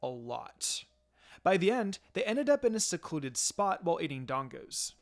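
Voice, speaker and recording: A man's voice: fairly high pitch, sing-song, normal speed. A man narrating a scripted fan fiction story as a storyteller. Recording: music behind the voice.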